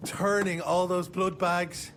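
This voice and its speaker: A man playing a slippery, nervous gentleman vampire informant in a video game, with a creaky, theatrical voice and a halting delivery. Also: sits high, steady tone, soft background music, heavily acted. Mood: agitated warning. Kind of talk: video game cutscene acting.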